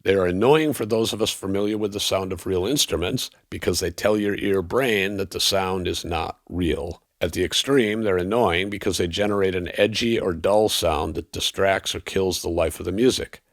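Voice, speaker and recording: Low-pitched man reviewing in dry sound. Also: moderate pace, few pauses, no echo. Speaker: audio equipment reviewer. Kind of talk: scripted review narration.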